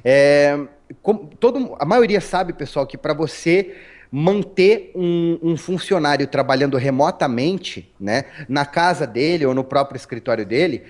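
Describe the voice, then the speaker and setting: Brief: Paulistano male guest, relaxed storyteller, raised pitch, lots of restarts and "né?". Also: a little slow. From Brazil. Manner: chatty, storytelling, frequent restarts and tag questions. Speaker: interview guest. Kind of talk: casual interview talk.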